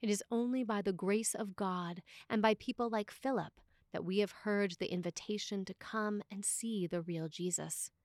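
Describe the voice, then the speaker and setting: A woman delivers a scripted sermon as a priest would from a prepared text. Her pitch sits in the middle and she speaks deliberately, pausing for emphasis. The recording is dry, with hardly any room sound. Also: a little mellow, no echo.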